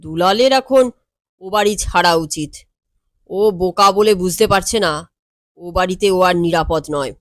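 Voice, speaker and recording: Woman as a composed, knowing male lawyer, unhurried. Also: on the low side, dry recording, natural voice. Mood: grave. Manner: measured, matter-of-fact, wry. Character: composed, knowing male lawyer, world-weary elder brother figure.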